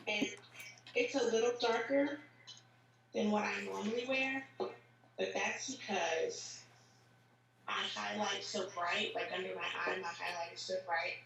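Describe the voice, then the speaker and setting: A woman with a slightly raspy, tired voice after a long workday, chatting casually with lots of fillers as she explains each step of a makeup tutorial. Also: mid-range voice, steady tone, measured pace, pauses often, recorded from a distance, a crowd in the background. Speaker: makeup vlogger. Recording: roomy echo, voice set back from the mic, faint hiss.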